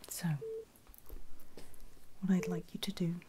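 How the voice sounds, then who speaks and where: A woman speaking in a soft, breathy whisper, gently reassuring the listener as a medical carer in an ASMR roleplay. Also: fairly low pitch, flat delivery, takes it slowly, background noise.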